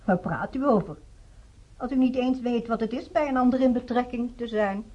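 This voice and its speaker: A woman's voice: fairly high pitch, moderate pace, darkish tone, close to an everyday voice. A woman playing a blunt questioner in a radio drama, speaking in a pointed, challenging manner on a muffled recording.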